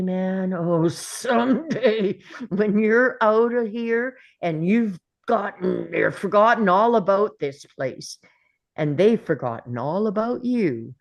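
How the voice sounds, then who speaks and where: A woman playing a bullying, sarcastic male school principal in a script read, taunting with drawn-out contempt. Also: mid-range voice. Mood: threatening. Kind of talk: table read of a film script.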